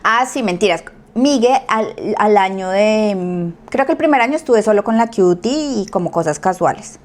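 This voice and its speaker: A woman with a Colombian accent tells her story as a podcast guest in a relaxed chat. Her voice sits at an average pitch. She is animated and acts out quoted speech. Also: normal speed. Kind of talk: casual storytelling.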